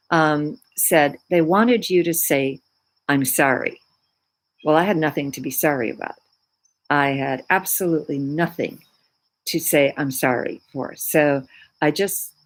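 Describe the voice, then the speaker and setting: A woman from Poland, whose first language is Polish, talking casually about her crystals as a spiritual teacher, pausing often for words. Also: sits low, low-quality recording. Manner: unhurried, searching for words with many fillers. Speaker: spiritual teacher and Reiki practitioner.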